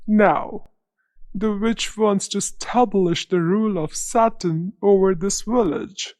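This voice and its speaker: A woman's voice: mid-range voice, takes it slowly, darkish tone, no room sound, close to an everyday voice. A woman voicing a king half turned to stone, telling his tale in a grave, solemn way.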